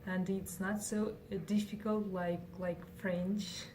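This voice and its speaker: A woman's voice: medium pitch, level tone, slow pace, darkish tone. A young woman from Kazakhstan, whose first language is Kazakh, answering interview questions in English with many fillers and restarts. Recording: faint noise, distant from mic.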